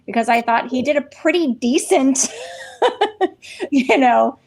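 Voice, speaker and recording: A woman from Virginia's Shenandoah Valley co-hosts a relaxed podcast. Her voice sits a little higher than most, and her talk is lively and chatty. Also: slow, vocal fry. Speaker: podcast co-host. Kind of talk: casual podcast chat.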